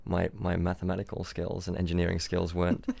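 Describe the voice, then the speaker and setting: Deep gravelly man, very dry sound. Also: monotone, brisk, darkish tone, dry recording. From Switzerland, raised in Australia. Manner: repeats words, restarts often. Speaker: startup founder, podcast guest. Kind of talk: casual interview chat. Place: London.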